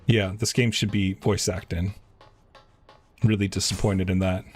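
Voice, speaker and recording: Man, game streamer, low pitch, monotone, halting read-aloud and commentary. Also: husky. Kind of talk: live stream gameplay, reading dialogue aloud.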